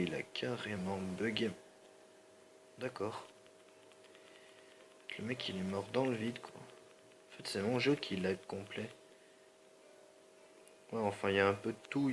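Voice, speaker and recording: A man with a low, gravelly voice gives casual gameplay commentary while nursing a slightly sore throat and a stuffy nose. He rambles in slangy asides and keeps apologizing to his viewers, over a faint ambient hum. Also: rough recording. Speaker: gaming channel host.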